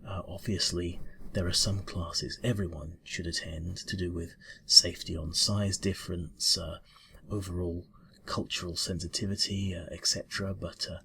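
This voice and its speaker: Casting: male actor as a polite, flustered bureau-cat interviewer for ASMR roleplay. Deep yet bright-edged voice, halting officious mumble. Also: level tone, gravelly, husky, full character voice. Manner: hesitant, mumbling, halting, formal.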